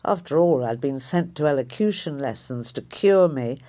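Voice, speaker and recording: A woman, a writer reading a reflective personal essay in a measured, thoughtful way. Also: a bass voice, expressive, dark tone. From Liverpool area, England.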